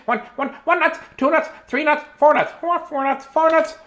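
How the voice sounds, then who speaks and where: A woman's voice: high voice, steady tone, normal speed, fairly bright tone, voice pushed up. A woman voicing a dramatic squirrel who is fed up with nuts, in a singsong, wailing way. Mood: giddy.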